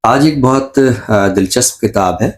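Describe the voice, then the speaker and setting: A middle-aged Pakistani man, a professor and poet, speaking in a measured, professorial way and repeating phrases for emphasis on a literary show. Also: medium pitch, lively intonation. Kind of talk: reflective literary talk and poetry recitation.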